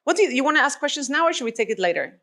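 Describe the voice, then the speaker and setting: A woman's voice: sits high, very animated, racing, bright, forceful tone. Woman lecturing with rhetorical questions. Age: adult with a young child. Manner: conversational, frequent fillers and rhetorical questions. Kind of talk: public lecture.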